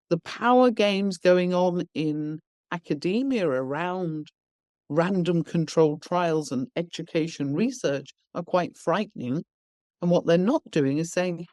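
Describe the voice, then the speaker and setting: A woman's voice: on the low side, darkish tone, no room sound, clean studio sound. A woman guest on a podcast interview, explaining her views bluntly and emphatically with everyday examples. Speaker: education founder, guest.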